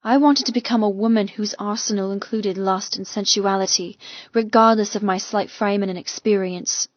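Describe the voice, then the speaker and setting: A woman reading a first-person romance audiobook with intense, expressive, dramatic delivery. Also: medium pitch, normal speed, no echo, highs cut off. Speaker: audiobook narrator.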